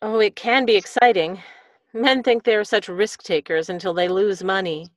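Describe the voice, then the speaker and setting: Older actress as breezy lady grifter, gushing storyteller, period radio mystery, medium pitch, close dry audio. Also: steady tone, normal speed, no echo, muffled recording, close to an everyday voice. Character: smooth-talking, gushing Edwardian lady con artist. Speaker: radio play actor. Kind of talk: radio drama acting. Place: indoor room on a video call.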